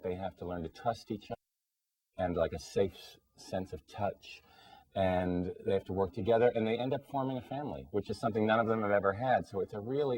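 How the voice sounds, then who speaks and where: A man's voice: lowish voice, a little mellow, slightly rough, poor audio. A man speaking earnestly and conversationally as a guest answering interview questions.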